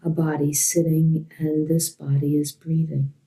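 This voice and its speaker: A woman teaching a guided meditation in a gentle, unhurried way with long pauses. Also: low voice, flat delivery, rough recording.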